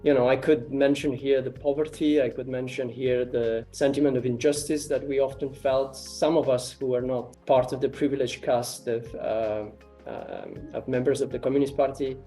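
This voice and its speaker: Hesitant Romanian-raised man, mellow voice. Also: mid-range voice, steady tone, normal speed, soft background music. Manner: hesitant, many fillers and repeated starts. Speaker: interviewee, artist and ecology researcher. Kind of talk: casual interview answers.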